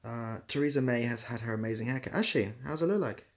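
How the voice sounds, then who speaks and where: A man's voice: mid-range voice, fast. A young man hosting a casual livestream, reading out comments and giving dry, self-deprecating, rambling answers. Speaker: livestream host.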